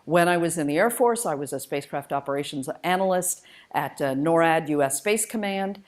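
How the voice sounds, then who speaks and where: Woman speaker, slightly low voice. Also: lively intonation, fairly quick, a little mellow. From United States and Malaysia, born in India. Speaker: guest speaker, spacecraft engineer. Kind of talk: informal public lecture with personal stories.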